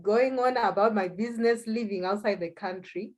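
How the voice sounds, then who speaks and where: A woman's voice: medium pitch, normal speed, a little mellow. Zimbabwean woman, hesitant conversational guest. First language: Shona. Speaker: interview guest, language school founder. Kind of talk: conversational personal account.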